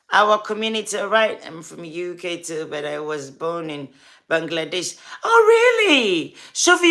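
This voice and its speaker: A woman with a Ghanaian accent hosts a relaxed livestream, chatting with her viewers as they come in. Her voice is bright and forward, and she talks at an easy, unhurried pace, pausing often. She greets people by name and keeps coaxing them to subscribe. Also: on the high side. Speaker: livestream host and gospel artist. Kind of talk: casual livestream chat.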